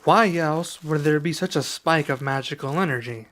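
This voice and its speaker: A young man playing a serious, guarded dragon adventurer in an ASMR roleplay, speaking softly and slowly with pauses. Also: fairly high pitch, a little hiss or hum, lightly acted. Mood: earnest. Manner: soft-spoken, measured, with pauses.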